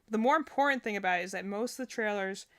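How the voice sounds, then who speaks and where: A woman's voice: medium pitch, rapid-fire, fairly bright tone. A woman giving casual, gushing live-stream reactions to game trailers. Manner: enthusiastic, gushing.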